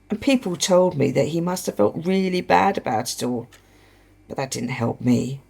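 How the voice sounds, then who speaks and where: A woman acts an embittered widow in a radio play. Her voice is lowish and rough, with a creaky edge. She questions like an accuser, grief sitting under the bitterness. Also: warm tone, heavily acted. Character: embittered grieving widow confronting her husband's killer.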